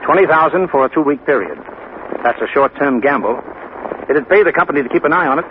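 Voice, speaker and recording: An earnest male federal agent voiced by a radio actor explains things in a businesslike way, his pitch moving freely. It is 1950s radio drama acting, heard through a thin, tinny recording with clear noise. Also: lively intonation, fast talker, close to an everyday voice. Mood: earnest, explaining.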